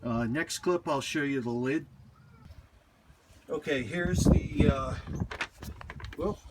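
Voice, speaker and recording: A middle-aged man from North America giving a casual, halting, unscripted hands-on show-and-tell as a hobbyist, recorded on a handheld camera mic. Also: a little high, darkish tone, poor audio. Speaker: radio hobbyist presenting a find. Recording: faint background noise, handheld camera clips.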